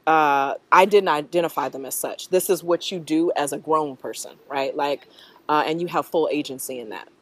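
Middle-aged American woman, lowish voice, thoughtful and halting interviewee, dry close sound. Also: no echo. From North Carolina, US. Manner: thoughtful, halting, often checks in with "right?". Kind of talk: reflective personal interview answers. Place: outdoors in a leafy park.

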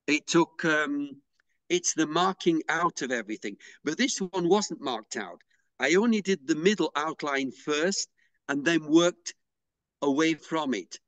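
Man, slightly higher voice, dry sound, hesitant, video call interview. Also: expressive, a little slow, a bit bright, dry recording. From England. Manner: hesitant, with fillers and restarts. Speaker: pysanky artist and interviewee. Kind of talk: unhurried explanatory interview talk.

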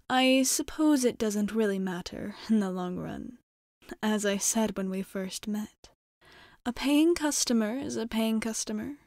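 Soft, slow-spoken courteous vampire woman, acted out. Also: mid-range voice, no echo, studio quality, close to an everyday voice. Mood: musing, warm. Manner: polite, measured, formal, often dropping into near-whisper. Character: courteous, guarded female vampire bartender fond of humans. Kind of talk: ASMR roleplay, soft slow delivery.

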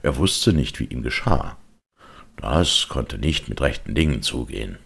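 A man narrating a children's storybook as an expressive audiobook reader. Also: very deep voice, unhurried, gravelly, husky.

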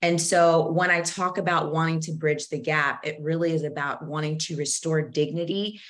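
A middle-aged woman, speaking deliberately and earnestly as an interview guest explaining things on a video call. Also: sits low, fairly even intonation. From born in Zambia, moved to the United States at age four. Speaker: guest author and co-host. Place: home office with bookshelves, indoors.

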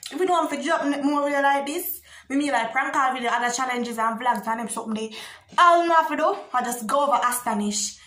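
A woman's voice: sits high, quick pace, a bit bright. Young Jamaican woman, echoey room. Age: young adult. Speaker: video blogger. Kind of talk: casual chat to camera. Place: small bare indoor room.

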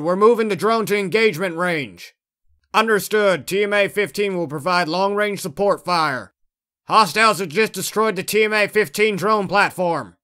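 Man acting a high-voiced gung-ho army vehicle crewman. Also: sharp tone. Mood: crisp, dutiful. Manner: clipped, brisk military delivery. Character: gung-ho military vehicle crewman barking radio replies. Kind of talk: video game unit voice lines.

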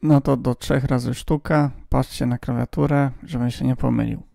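A man with a strongly gravelly, creaky voice at a medium pitch. He explains things casually as a radio hobbyist hosting a vlog. The sound is dry and close, recorded outdoors on a handheld phone. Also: fairly even intonation, moderate pace, mellow, no room sound. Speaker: amateur radio hobbyist host.

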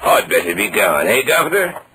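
An actor playing a sinister, mysterious stranger with a London accent, slow and taunting, in an old radio horror drama. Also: male voice, on the low side, sing-song, background noise. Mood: sly menace. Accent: London English. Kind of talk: 1940s radio horror acting.

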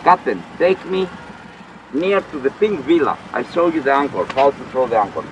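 A man's voice: medium pitch, expressive, moderate pace. A middle-aged man from Corfu, a boat rental captain, giving short, clipped instructions in non-native English on an open boat at sea. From Corfu, Greece. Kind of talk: casual instructions. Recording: noisy outdoor boat audio.

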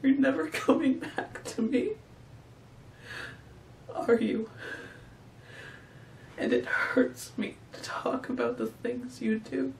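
A man's voice: very high-pitched, creaky, heavily acted. A man performing a heartbroken, bitter, wistful friend in a mournful, theatrical audition monologue. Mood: tearful pleading. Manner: slow, mournful, theatrical recitation. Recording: echoey room, distant mic, faint noise.

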